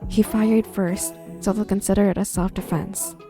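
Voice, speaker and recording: A woman's voice: medium pitch, steady tone, moderate pace, music in the background, close to an everyday voice. A woman playing a shaken, guarded young woman in a game roleplay, speaking candidly. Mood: guarded, numb. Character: guarded, shaken young woman who killed her boyfriend. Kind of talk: online game roleplay voice acting.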